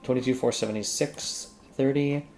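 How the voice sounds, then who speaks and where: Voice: mid-range voice, steady tone, moderate pace. A tutorial host thinking aloud casually in a screen-recorded coding demo, with informal asides and some keyboard typing. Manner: informal, exclamatory asides to self and software. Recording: faint background noise, keyboard typing.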